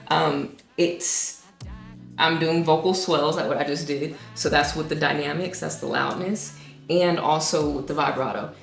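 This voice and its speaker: A young woman, a singer-songwriter, casually explains her own song with many ums and restarts and now and then sings a note; her voice is low. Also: steady tone, a little slow, gravelly, echoey room, faint music behind. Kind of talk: casual music breakdown.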